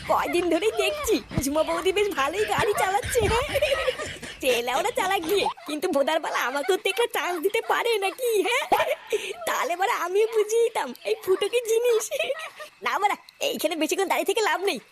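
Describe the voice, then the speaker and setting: Woman voicing a very high-pitched brash cartoon guy. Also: animated, fast talker, background noise. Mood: cocky, boastful. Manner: brash, swearing, complaining. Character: cocky foul-mouthed young village man, irritable and lazy. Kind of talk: comic cartoon dialogue.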